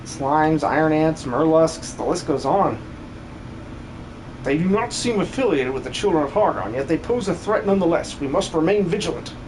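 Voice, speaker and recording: A man acts out a formal old advisor from a fantasy game. He is pompous and wordy, with a stilted delivery. There is clear background noise on the recording. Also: fairly high pitch, expressive, moderate pace, natural voice. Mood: pompous, grave.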